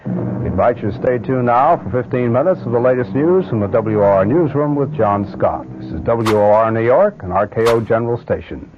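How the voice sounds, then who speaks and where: American radio announcer on very noisy recording. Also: male voice, mid-range voice, animated, brisk. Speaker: station announcer. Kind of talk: formal scripted radio announcement. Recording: very noisy, tinny old radio broadcast.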